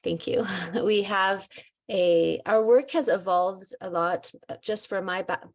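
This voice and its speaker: A woman's voice: medium pitch, slow pace. A woman raised between Syria and the US, a nonprofit founder and CEO, presenting at an online conference in a friendly, conversational way with some restarts. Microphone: video call.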